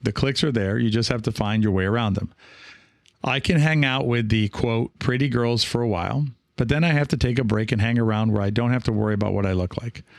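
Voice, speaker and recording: A man talking casually as a podcast host and coach, reflective and encouraging, halting now and then and often checking in with the listener. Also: mid-range voice, gravelly, dry recording, clean studio sound. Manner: reflective, halting, with frequent rhetorical check-ins.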